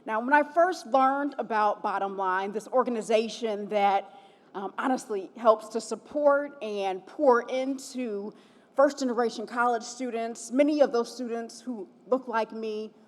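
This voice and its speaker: A woman's voice: fairly high pitch, measured pace. A young woman from Chicago, a TV reporter, hosting a gala and cheering on the crowd with call-and-response. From Chicago, USA. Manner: upbeat, rallies the audience with call-and-response. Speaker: event host, TV reporter. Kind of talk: gala emcee speech. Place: large event hall. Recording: long hall reverb from event PA.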